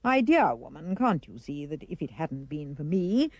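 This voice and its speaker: A woman's voice: sits low, sing-song, moderate pace, a little mellow, no room sound, pitched below the performer's own voice, exaggerated voice. A woman voicing a pompous, mercenary male dentist with theatrical relish, in a children's verse reading. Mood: pompous, indignant.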